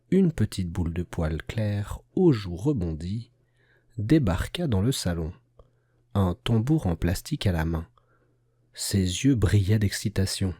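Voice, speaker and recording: A man's voice: low-pitched, warm tone, breathy edge, no echo, studio quality. A man reading a scripted children's tale as a storyteller.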